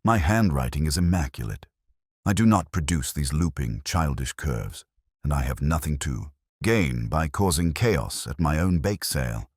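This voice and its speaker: A man's voice: very deep voice, animated, normal speed, slightly rough, no room sound, studio quality, full character voice. A man voicing a haughty village woman in a storybook mystery, sniffy, clipped and superior. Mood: haughty, offended. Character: haughty, disdainful village woman defending herself. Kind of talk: storybook character dialogue.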